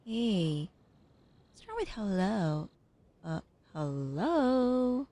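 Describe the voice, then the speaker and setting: A woman's voice: mid-range voice, sing-song, drawn-out words, warm tone. A woman streamer giving casual gameplay commentary, playfully bantering back at the characters. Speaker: gaming streamer. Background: game music.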